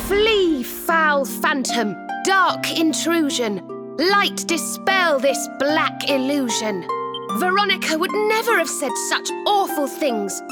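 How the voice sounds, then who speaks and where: A high-voiced fantasy heroine, earnest and resolute. She speaks slowly and expressively, with music behind her in a game scene. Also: animated. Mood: defiant. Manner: earnest, incantatory, firm. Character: devout, resolute young woman banishing a phantom.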